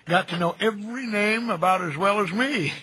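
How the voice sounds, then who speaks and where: An actor playing a kindly, folksy older cemetery caretaker in an audio drama, chatty and gentle in a homespun, nostalgic way. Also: male voice, high voice, moderate pace, a little hiss or hum. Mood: warm, chatty. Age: middle-aged. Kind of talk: naturalistic radio drama dialogue.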